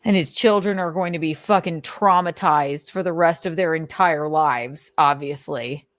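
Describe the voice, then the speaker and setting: A woman's voice: deep voice, normal speed, dry recording, studio quality. A woman reading notes aloud with casual, sardonic commentary, swearing freely and talking conversationally. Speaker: host of a commentary show.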